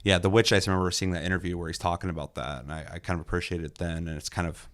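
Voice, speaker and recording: A young man casually reviewing a movie in a relaxed, enthusiastic way, with lots of fillers and the odd curse. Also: low-pitched, racing. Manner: loose and enthusiastic, many fillers, occasional swearing. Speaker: movie reviewer, podcast host. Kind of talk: casual film review chat.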